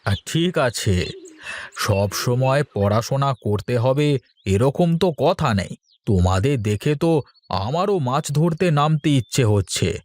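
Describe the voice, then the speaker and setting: A man voicing an easygoing, kindly village man in a cartoon, expressive and reassuring. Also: medium pitch, slow. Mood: admiring, indulgent. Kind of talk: cartoon voice acting.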